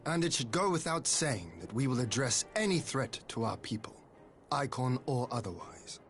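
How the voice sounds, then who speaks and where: An actor playing a proud, stern noble ruler in a video game, speaking in a formal, blunt, guarded manner. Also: male voice, medium pitch, big pitch swings, normal speed. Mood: firm, dignified. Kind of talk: scripted video game voice acting. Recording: music behind the voice.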